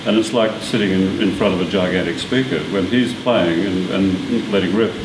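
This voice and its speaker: Man, Australian accent, low voice, animated chatty musician, distant mic, roomy, air-con hum. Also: moderate pace. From Sydney, Australia. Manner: chatty, animated, many restarts. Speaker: orchestral piccolo player. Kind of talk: casual interview.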